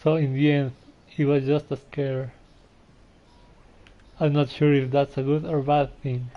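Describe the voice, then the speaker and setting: A man's voice: mid-range voice, little pitch movement, a little slow. Casual male gamer, dull lo-fi mic. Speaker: game streamer. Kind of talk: casual gameplay reactions. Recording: dull lo-fi mic, faint noise.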